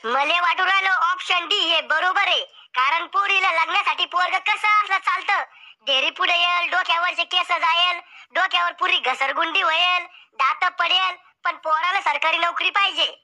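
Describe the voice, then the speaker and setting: A woman's voice: extremely high pitch, normal speed, pushed and bright, full character voice. A woman voicing a cheeky, idle young grandson in a cartoon, bantering in a rustic way. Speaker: game show contestant. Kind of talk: cartoon comedy acting.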